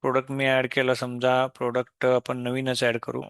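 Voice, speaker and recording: A man explains software step by step in an unscripted tutorial, often posing questions and answering them himself. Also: medium pitch, completely flat delivery, moderate pace, smooth, no room sound, clean studio sound. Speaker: software demo presenter.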